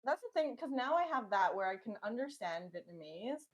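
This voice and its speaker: A woman's voice: fairly high pitch, big pitch swings, brisk. A woman who grew up in Canada, talking as a podcast guest in an easygoing way, often laughing. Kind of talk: casual podcast chat.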